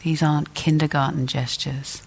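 A woman teacher leading a guided meditation in a gentle, deliberate way, leaving long pauses between phrases. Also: sits low, fairly even intonation, gravelly, breathy edge.

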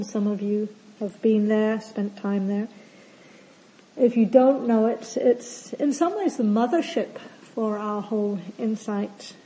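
A woman meditation teacher giving a calm, gentle opening talk at a retreat. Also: fairly high pitch, poor audio. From not from Australia. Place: retreat centre hall.

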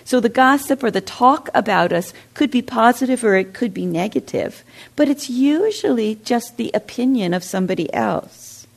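A woman's voice: fairly high pitch, expressive, normal speed, vocal fry. A woman meditation teacher giving a reflective dharma talk to a seated group, often asking them questions. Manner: reflective, asks the audience questions. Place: meditation center hall.

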